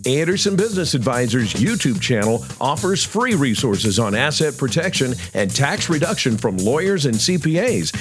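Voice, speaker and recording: Animated male ad announcer over music. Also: medium pitch. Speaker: advertisement announcer. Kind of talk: scripted promotional read. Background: music bed.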